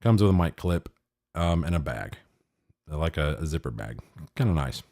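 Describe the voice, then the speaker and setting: A man's voice: extremely low pitch, big pitch swings, moderate pace, dark tone, dry recording. A middle-aged man talking casually through audio equipment in a rambling, self-deprecating way, speaking close into studio microphones in a home office. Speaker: podcaster demonstrating audio gear. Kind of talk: casual gear review talk. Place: small home office studio, untreated room. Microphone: close studio microphones with pop filters.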